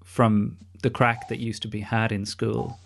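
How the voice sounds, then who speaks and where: A man's voice: mid-range voice, little pitch movement, a little slow, gravelly, a little hiss or hum. A man hosting a podcast interview in a wry, discursive, conversational way, with some casual swearing. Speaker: podcast host.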